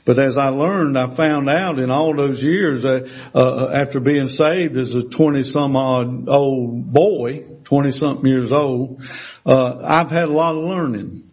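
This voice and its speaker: A man's voice: medium pitch, unhurried, darkish tone, husky. A man in his sixties preaching a folksy sermon in a church, with emphatic rises and call-and-response. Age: 60s. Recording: dull lo-fi sermon recording.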